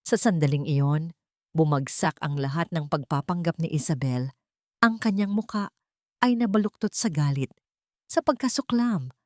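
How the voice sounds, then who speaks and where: A woman's voice: low voice, moderate pace, no echo, studio quality. A woman narrator reading a scripted romantic drama in a dramatic storytelling style.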